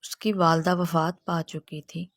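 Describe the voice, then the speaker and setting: A woman narrates a scripted children's tale as the storyteller. Her pitch sits a little low for a woman. The recording is dry, with no audible room. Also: little pitch movement, unhurried, no echo.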